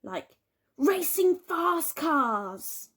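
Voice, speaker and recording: A woman teacher reading a children's storybook aloud with expressive, dramatic emphasis and drawn-out words. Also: high-pitched. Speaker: primary school teacher.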